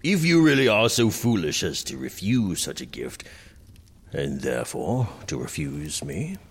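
An actor playing a proud, self-pitying prince, slurring and sulky in an audio drama. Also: male voice, deep voice, sing-song, measured pace, heavily acted. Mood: imperious.

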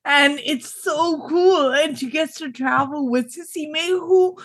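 A woman with a high voice introduces her character for a tabletop roleplaying game. She talks slowly, gushing and a little hesitant. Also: fairly bright tone. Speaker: player.

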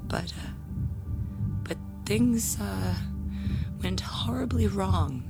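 A woman playing a proud, jealous parishioner and bell ringer in an audio drama, speaking in a pompous, theatrical, halting way. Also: medium pitch, animated, creaky, loud music behind the voice, heavily acted. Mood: defensive, sheepish.

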